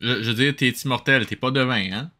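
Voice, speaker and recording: A man's voice: mid-range voice, brisk. A Québécois man giving casual comic commentary as a review host, sarcastic and slangy, dropping in English phrases. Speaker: host of a mocking watch-along review.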